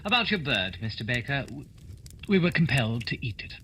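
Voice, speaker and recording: A man's voice: mid-range voice, big pitch swings, fairly quick, sharp tone, a little hiss or hum. A man playing a shrewd, theatrical detective in a radio play, speaking in a formal, declamatory way. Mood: courteous, probing. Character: shrewd, theatrical male detective, dryly explaining.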